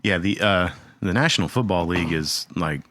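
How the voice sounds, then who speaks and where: A man's voice: sits low, moderate pace, fairly bright tone, no echo, studio quality. A man co-hosting a casual podcast, making jokey asides. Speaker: podcast co-host. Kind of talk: casual chat.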